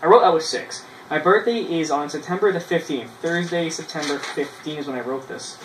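A man, casually reading his old writing aloud in a halting way, with self-mocking asides, in a small bedroom. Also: fairly high pitch. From Canada. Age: 20s. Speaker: vlogger. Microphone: camera a few feet away. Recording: roomy, distant mic with noise.

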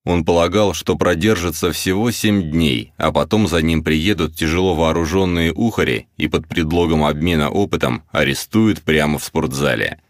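A man's voice: extremely low pitch, normal speed, few pauses, dry recording. A man narrating a novel as a scripted audiobook, close to the microphone.

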